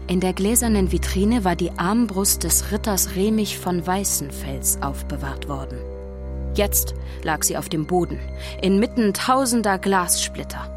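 A woman's voice: medium pitch, lightly acted. A woman playing a storyteller who witnessed a haunting, narrating a children's radio play with suspense. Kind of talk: scripted dramatic narration for children. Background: music.

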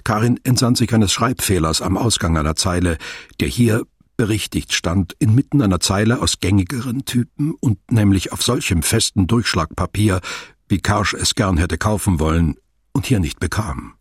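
An actor playing a detached literary narrator in a radio drama, reading scripted prose in a measured, precise way. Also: male voice, on the low side, breathy edge, no echo, clean studio sound.

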